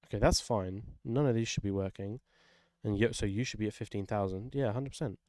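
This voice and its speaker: A man with a fairly low, very mellow voice, recorded dry and close. He thinks out loud casually while tinkering with music software, informal and sweary, often putting himself down. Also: brisk, no echo. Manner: self-deprecating, sweary, informal. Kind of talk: casual think-aloud screen session.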